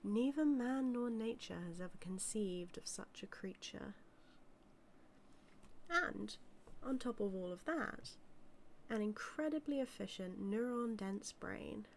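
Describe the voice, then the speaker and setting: Woman acting as a british lady mad scientist, evil but doting, slow n gravelly, some noise behind her. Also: medium pitch, lively intonation. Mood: proud. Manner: measured, musing, condescending, tender toward her creation. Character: doting female mad scientist, villainous, coldly ambitious. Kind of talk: scripted audio roleplay monologue.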